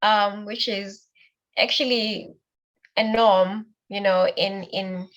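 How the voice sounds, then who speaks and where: A woman's voice: mid-range voice, steady tone, takes it slowly, a bit bright. A woman casually moderating an online group discussion, speaking conversationally to participants. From South Africa. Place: online call, off video during a power cut.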